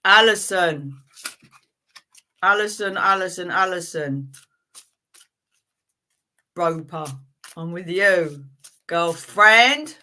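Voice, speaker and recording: A woman's voice: on the low side, animated, drawn-out words, long pauses, sharp tone. A woman hosting a live tarot reading stream, chatting in a friendly way with viewers and reading cards for them, with frequent fillers and laughs.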